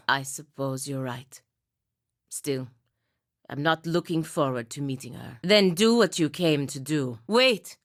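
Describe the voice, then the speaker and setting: A woman's voice: low-pitched, no room sound, clean studio sound. A woman playing a proud, bitter, dutiful warrior knight in a video game, speaking with stern, formal intensity. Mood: wounded, solemn. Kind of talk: video game character acting.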